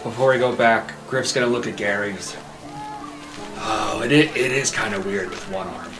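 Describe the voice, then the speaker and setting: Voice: medium pitch, normal speed, recorded from a distance, music in the background. A tabletop game player casually narrating a character's actions, recorded roomy and off the mic.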